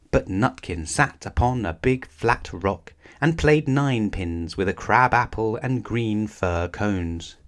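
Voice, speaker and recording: Guy reading a kids story out loud, slow n measured, expressive, medium pitched voice. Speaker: storybook reader. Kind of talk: children's story read aloud.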